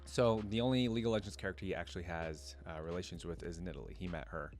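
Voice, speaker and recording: A man's voice: sits low, steady tone, quick pace, a little mellow, soft background music. A man hosting a reaction video with casual commentary, informal and thinking aloud as he goes.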